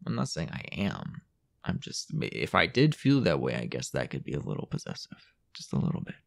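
A young man playing a possessive, jealous, dominant boyfriend in an intimate audio roleplay, halting and teasing, often dropping toward a whisper. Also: rumbling low, huge pitch swings, exaggerated voice. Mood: jealous, defensive. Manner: halting, teasing, breathy half-whispered asides.

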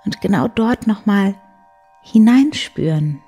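A woman in her forties hosting a bedtime show, guiding the listener gently and soothingly with a soft, breathy voice. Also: mid-range voice, animated, slow. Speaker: host of a bedtime story show. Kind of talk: guided sleep meditation, soft bedtime storytelling. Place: indoor room beside a wood stove. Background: soft music.

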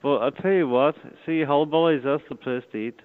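A man's voice: a little high, brisk. Derry man on a tinny phone line. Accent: Northern Irish, Derry. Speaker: venue staff answering a phone booking. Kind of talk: casual phone conversation. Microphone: telephone. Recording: muffled narrow-band phone line.

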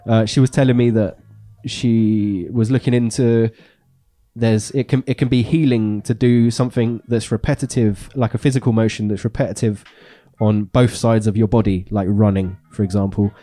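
A man's voice: fairly low pitch, fairly even intonation, moderate pace, mellow. A man in his thirties co-hosting a radio show, chatting casually about dance music in a small studio into a handheld mic, with a stuffy nose from a cold and a rambling way of talking. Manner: rambling, many fillers and restarts.

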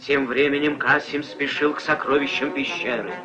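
A man narrating a fairy-tale film in an expressive, scripted storytelling style. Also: mid-range voice, moderate pace. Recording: muffled old film soundtrack. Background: music.

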